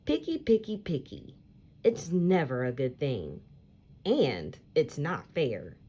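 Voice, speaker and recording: Woman reading slowly with very lively pitch. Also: slightly rough. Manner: animated, emphatic, deliberate phrasing for young listeners. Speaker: teacher reading picture books aloud for children. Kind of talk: expressive children's read-aloud storytelling.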